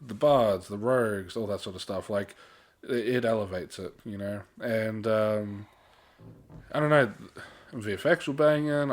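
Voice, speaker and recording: A man co-hosting a podcast in a casual, slangy chat style with some mild swearing. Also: fairly low pitch. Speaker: podcast co-host.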